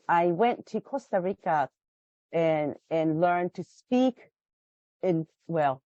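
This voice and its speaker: Slow-spoken woman with a Japanese accent. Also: on the low side, a little mellow, dry recording, lo-fi sound. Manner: thoughtful, restarts phrases while recalling. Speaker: guest. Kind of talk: interview over a video call.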